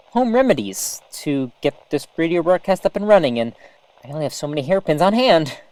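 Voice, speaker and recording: A woman's voice: deep voice, warm tone, poor audio. A woman playing an eager, awkward small-town radio host in an audio drama, chatty and hesitant. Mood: sheepish, flustered.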